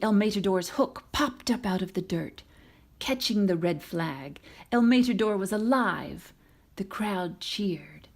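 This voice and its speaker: A woman reading a children's storybook aloud in an expressive narrating style. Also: lowish voice, gravelly. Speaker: storybook reader.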